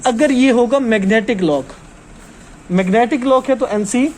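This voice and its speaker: A man with a very high-pitched voice works as a technical trainer, walking through material in an informal, hands-on way. He explains step by step and keeps checking that listeners follow. There is some background noise in the recording. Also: moderate pace.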